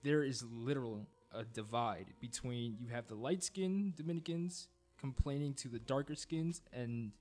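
A man's voice: medium pitch, moderate pace, hardly any pauses, darkish tone. Male podcast co-host from New Jersey, matter-of-fact, with faint background music. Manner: matter-of-fact, shares news and personal experience. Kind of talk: casual podcast discussion.

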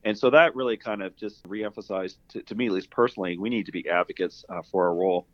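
Canadian guy talking fast in an interview, rambly, dry muffled lo-fi audio. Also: on the low side, dry recording. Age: middle-aged. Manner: rambling, peppered with "you know" and "kind of". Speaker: guest, university pharmacy dean. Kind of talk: conversational interview answers. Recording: dull, lo-fi voice track.

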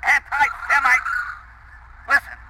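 Brash, high-pitched, sharp-voiced dealmaker. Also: male voice, flat delivery, slow pace, a little hiss or hum, heavily acted. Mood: brash, excited. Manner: impatient, barking, blunt. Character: brash, pushy male dealmaker on the phone, hailing cabs. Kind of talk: audio drama acting.

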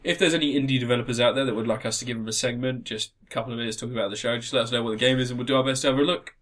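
A man's voice: mid-range voice, steady tone, racing, a bit bright. A man talking informally and a bit sweary as co-host of a casual gaming podcast.

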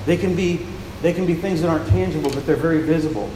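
A man preaching a sermon, soft-spoken and often repeating words as he restarts a thought, after reading scripture aloud. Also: a little high, fast talker. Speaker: pastor. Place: church with a large echoing room. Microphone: handheld mic. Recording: echoey, reverberant hall with noise.

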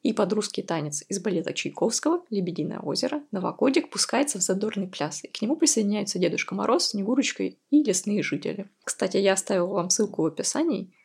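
A woman's voice: medium pitch, animated, quick pace, gravelly, few pauses, a little mellow, slightly rough. A woman hosting a podcast, going through quiz answers and explaining them in a chatty, explanatory way. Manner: chatty, explanatory, with light laughs. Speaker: podcast host.

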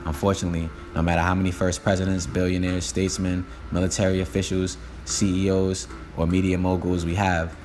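Man doing spoken word, real low and monotone, loud music bed behind him. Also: fairly quick, few pauses. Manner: steady, declamatory, repeating a refrain. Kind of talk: recorded spoken-word speech over music.

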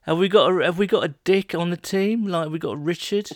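A man's voice: high-pitched, fairly quick, no room sound, studio quality. A man co-hosting a podcast in casual chat, rambling and laughing as he reads out listener questions. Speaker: podcast co-host.

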